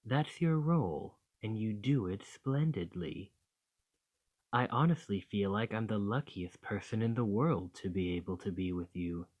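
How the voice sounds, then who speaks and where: A man's voice: fairly low pitch, unhurried, darkish tone, lo-fi sound. A man playing an affectionate, slightly possessive dragon boyfriend in an ASMR romantic roleplay, speaking tenderly and reassuringly. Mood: tender, adoring.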